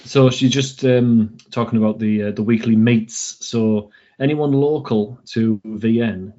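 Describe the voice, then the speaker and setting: Middle-aged male co-host, dry and halting, recorded with dull lo-fi quality. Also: lowish voice, steady tone, dark tone. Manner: dry, halting, searches for words. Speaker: podcast co-host. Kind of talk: casual banter. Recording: dull lo-fi sound.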